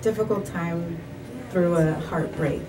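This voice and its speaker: A woman's voice: fairly low pitch, a little slow. A woman from El Salvador, a skincare business owner, giving brief, matter-of-fact answers in a casual radio interview. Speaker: guest, aesthetician and business owner. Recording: distant mic with background noise.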